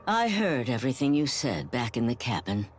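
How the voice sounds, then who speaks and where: An actress playing a frail, dying young woman in a video game, resigned and stubborn, with wistful, halting delivery. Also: a bass voice, sing-song, faint music behind. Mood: resigned, defiant. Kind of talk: dramatic video game cutscene acting.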